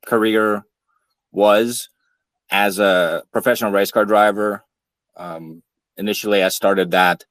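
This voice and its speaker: A man in his thirties, born in Ukraine, telling his own story in a measured, halting way, with frequent fillers. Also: lowish voice, a bit bright, dry recording. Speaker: podcast speaker. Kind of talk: monologue, personal account.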